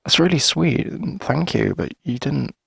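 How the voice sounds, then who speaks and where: A man playing a shy, friendly, flirty coffee shop owner in a gentle ASMR roleplay, speaking hesitantly in a husky, breathy voice. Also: medium pitch, expressive, no room sound, heavily acted. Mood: warm, curious. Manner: hesitant, gentle, halting with soft chuckles. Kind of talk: soft conversational ASMR roleplay.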